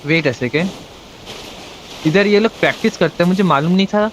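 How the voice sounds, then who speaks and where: A man's voice: sits high, rapid-fire, slight background noise, poor audio. A man with an Indian accent doing casual, playful live game commentary, often repeating phrases. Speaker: game streamer. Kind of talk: casual live gameplay commentary, mixing Hindi and English. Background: game music.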